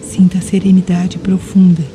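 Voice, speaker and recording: Very dark-voiced woman guiding meditation. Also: fairly low pitch, level tone, moderate pace, slight background noise, rough recording. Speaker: meditation guide. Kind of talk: scripted guided meditation. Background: music, rain and thunder.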